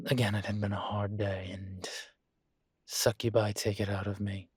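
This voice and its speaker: A man acting as a composed, courteous college professor in an intimate audio roleplay, speaking with measured, formal politeness and dry wit. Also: on the low side, level tone, slightly rough, no echo. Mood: embarrassed, apologetic. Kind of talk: intimate scripted one-sided roleplay dialogue.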